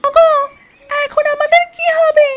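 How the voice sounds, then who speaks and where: A woman's voice: very high-pitched, level tone, measured pace. A woman voicing a trusting, worried mother goose in a cartoon, speaking plaintively. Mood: distressed. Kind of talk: cartoon story voice acting.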